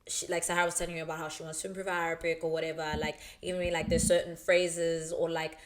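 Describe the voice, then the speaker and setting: A woman's voice: on the low side, little pitch movement, fast. A woman from Lesotho co-hosting a podcast, talking casually with playful, emphatic reactions. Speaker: podcast co-host. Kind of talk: casual chat.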